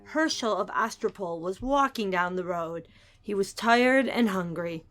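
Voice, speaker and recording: A woman's voice: mid-range voice, expressive, a little slow, a bit bright, soft background music. A woman narrator giving a scripted, dramatic reading of a storybook tale.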